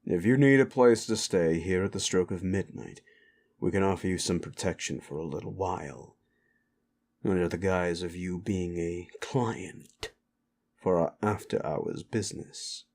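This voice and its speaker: A man plays a weary, stern keeper of an underworld club in an audio drama. The voice sits low and moves slowly. He scolds with measured authority. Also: a little mellow. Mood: cool, measured. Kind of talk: dramatic audio roleplay acting.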